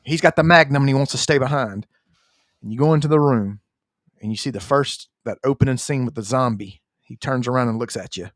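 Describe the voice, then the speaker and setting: A man's voice: mid-range voice, fairly quick, dry recording, clean studio sound. A man co-hosting a casual gaming podcast, telling things in an emphatic, slangy, sweary way. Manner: slangy, emphatic storytelling, profane. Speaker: podcast co-host. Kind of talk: casual chat about video games.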